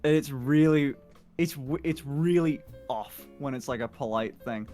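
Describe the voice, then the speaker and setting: A man's voice: mid-range voice, measured pace, dark tone, faint music behind. A man chatting casually as a stream guest, rambling, laughing a lot and swearing freely. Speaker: guest on a livestream call. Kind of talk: casual chat.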